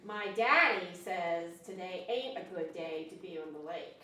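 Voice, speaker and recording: Solemn little country girl read by a woman, folksy and eerie, bright, recorded far from the mic. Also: on the low side, animated, a little slow. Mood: eerie warning. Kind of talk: amateur table read of a screenplay. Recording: echoey room, distant microphone.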